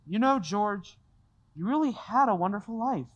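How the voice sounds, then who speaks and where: A performer playing a gentle wise guardian angel. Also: mid-range voice, moderate pace, muffled recording, close to an everyday voice. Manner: calm, gently instructive.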